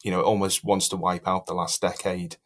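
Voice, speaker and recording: A man's voice: low-pitched, little pitch movement, a little fast, a bit bright. A man working as a podcast journalist, putting informal, discursive questions in a political interview.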